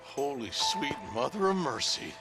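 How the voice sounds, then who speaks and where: An actor playing an excitable frontier deputy in a Western game, with an American accent and an alarmed manner. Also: male voice, medium pitch, unhurried, music in the background. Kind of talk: cinematic game dialogue.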